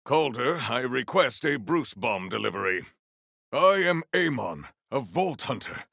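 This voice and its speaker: A proud video game warrior with a low, gravelly voice and stately, formal phrasing. He speaks slowly and deadpan, yet swings widely in pitch with grandiose flair. The sound is dry and close. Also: no room sound, heavily acted. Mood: stoic, formal. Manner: formal, stilted, grandiose diction. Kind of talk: scripted video game character lines.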